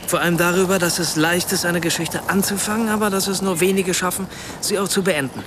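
An actor playing an earnest, self-doubting writer in a radio play, hesitant and searching for words. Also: male voice, sits high, steady tone, fairly quick, background noise, lightly acted. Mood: earnest, urging. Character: earnest, self-doubting German male writer, apologetic and probing. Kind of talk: naturalistic radio play dialogue.

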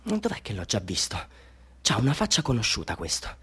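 An actor playing an excitable, self-doubting amateur photographer telling his story in a theatrical radio monologue, moving between confiding and fervent delivery. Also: lowish voice, brisk, husky, heavily acted. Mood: puzzled, agitated.

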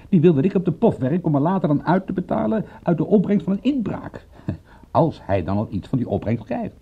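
A man playing a cynical, excitable private detective in a radio drama, speaking in a wry, emphatic, husky voice. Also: a little high, brisk, heavily acted. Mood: incredulous. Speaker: detective and narrator. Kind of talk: radio drama acting and narration. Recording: dull, muffled old radio recording.

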